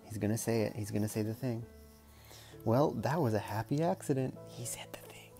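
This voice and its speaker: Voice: medium pitch, a little fast, dark tone, soft background music. A storyteller reading a picture book aloud in a casual, playful way, with chatty murmured asides.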